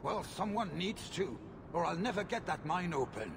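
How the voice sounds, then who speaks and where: An actor playing a disdainful, grumbling mine owner in a video game, with a gravelly voice. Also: male voice, very animated, normal speed, full character voice. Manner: grumbling, condescending. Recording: noisy background rumble.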